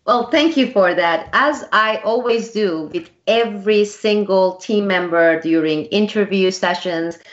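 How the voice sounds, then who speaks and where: Woman, interview host, halting delivery, frequent restarts, muffled call audio. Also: mid-range voice. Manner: hesitant, halting with many restarts. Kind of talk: conversational interview.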